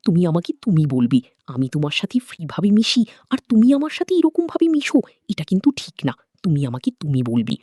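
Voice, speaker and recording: Woman voicing a coaxing older father-in-law, very animated. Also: fairly low pitch, a little fast, breathy edge, dry recording, clean studio sound. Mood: coaxing, familiar.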